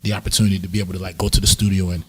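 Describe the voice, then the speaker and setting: A quick-talking man from Tobago with a husky, slightly low voice. He tells his story in a relaxed, laid-back way, with plenty of fillers, in an easy interview chat. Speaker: sound system selector.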